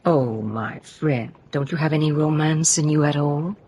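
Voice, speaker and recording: A woman playing a poised, charming Victorian lady in a radio drama, gracious and coaxing, with a husky voice. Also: low voice, normal speed, a little mellow, slight background noise, natural voice.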